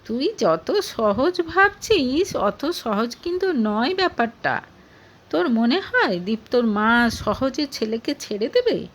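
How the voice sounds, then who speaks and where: Wary, high-voiced girl friend, done by a narrator woman. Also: big pitch swings, slow pace, heavily acted. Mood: cautioning. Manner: cautioning, informal. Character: frank, suspicious young female best friend giving warning.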